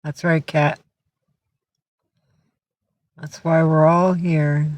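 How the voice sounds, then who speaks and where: A man chatting on a live stream, drawing his words out slowly with many fillers and restarts. His voice sits a little high for a man, and the sound is close and dry, with no room behind it. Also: flat delivery, no room sound. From San Francisco Bay Area. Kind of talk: casual live-stream chat reading viewer comments.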